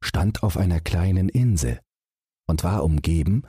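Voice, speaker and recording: A low-voiced man narrates a bedtime fairy tale. His voice is very raspy and he takes his time, telling the story in a measured, dramatic way. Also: dark tone, dry recording, clean studio sound. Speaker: audiobook narrator.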